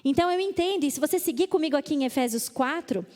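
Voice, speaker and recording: Lively high-voiced Brazilian woman preaching. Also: fast talker. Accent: Brazilian Portuguese. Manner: lively, reads verses with emphasis, mimics excuses playfully. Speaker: conference speaker. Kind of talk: women's conference talk on scripture. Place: church auditorium. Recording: reverberant church hall.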